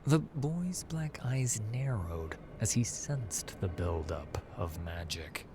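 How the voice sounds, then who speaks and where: Slow, intense male fantasy narrator. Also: lowish voice, animated, warm tone. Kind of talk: dramatic scripted fantasy narration. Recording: clear noise and ambience behind. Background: ambience and music.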